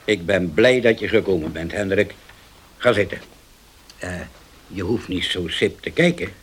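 An actor in a Dutch radio play as a scheming older uncle, husky, halting and condescending. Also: male voice, sits low, lively intonation, full character voice. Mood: welcoming, patronising. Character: scheming older uncle, pious-sounding, domineering and deliberate.

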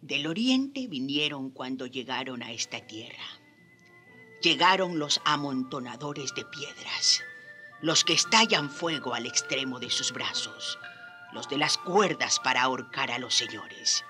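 Old native woman in a radio drama, slow and grave, voice swings a lot, music behind. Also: rumbling low, animated, full character voice. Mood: solemn lament. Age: older. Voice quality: hoarse when reading forcefully.

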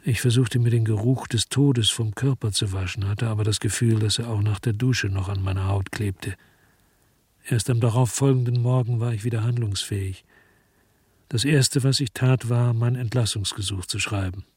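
A world-weary former cop tells his own story in a radio crime drama. His voice is on the low side, his phrases terse and literary, his temper brooding and reflective. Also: steady tone, normal speed, a little mellow, husky, no room sound. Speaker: lead actor and narrator of a crime radio drama. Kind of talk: first-person radio drama narration.

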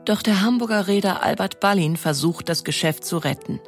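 A woman's voice: medium pitch, fairly quick, faint music behind. A woman reads scripted documentary narration as a narrator, in pronunciation.

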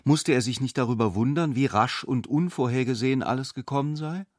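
A man's voice: medium pitch, fairly quick, dry recording. Man narrating literature, dry close sound. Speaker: narrator of a literary radio play.

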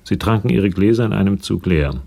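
A man narrates a radio crime play in a very low, mellow voice. There is a gravelly creak to it, and the delivery is that of scripted literary storytelling. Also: animated, a little fast.

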